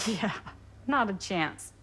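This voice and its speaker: An actress playing a knowing, reserved young American woman in a video game, wry and understated in a measured delivery. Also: mid-range voice, expressive, a bit bright, breathy edge, full character voice. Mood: wry, amused. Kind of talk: video game cutscene acting.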